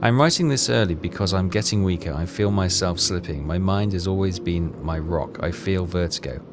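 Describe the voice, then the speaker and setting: A man doing casual game commentary and reading text aloud, haltingly, muttering his thoughts as he goes. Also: sits low, noisy background. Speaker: video game walkthrough player. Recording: voice under loud game music. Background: loud game music.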